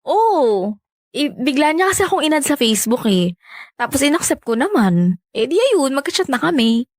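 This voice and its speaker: A woman's voice: high voice, moderate pace, no room sound, clean studio sound. Swoopy-voiced, lovestruck young woman. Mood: giddy. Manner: teasing, expressive. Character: lovestruck young woman, playful and defensive with her sister. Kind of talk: Filipino radio romance drama acting.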